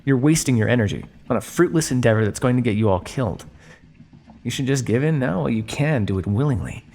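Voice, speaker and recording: Male actor as vampire scientist captor for audio roleplay: creaky, swooping delivery, smug and theatrical, some hiss on the recording. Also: mid-range voice, expressive, a little mellow. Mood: condescending. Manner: smug, measured, teasing, theatrical. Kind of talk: ASMR roleplay monologue addressed to listener.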